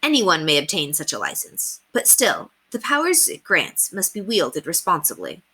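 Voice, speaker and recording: A woman reading a children's story aloud as a teacher would, with deliberate emphasis on key words. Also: lowish voice, wildly swooping pitch, sharp tone, breathy edge. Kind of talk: expressive storybook read-aloud narration.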